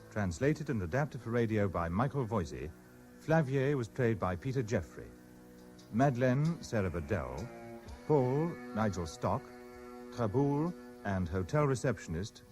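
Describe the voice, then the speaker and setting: A British man announces radio play credits in a formal broadcast style. His low voice rises and falls expressively, with faint music behind. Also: very animated, unhurried, a little mellow.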